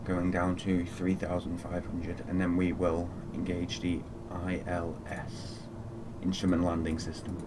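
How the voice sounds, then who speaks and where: Male game streamer, deep voice, low-key casual commentary, muttered procedures, noticeably noisy background. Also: monotone, a little slow. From Fiji. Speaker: flight simulator live streamer. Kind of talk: casual live gaming commentary.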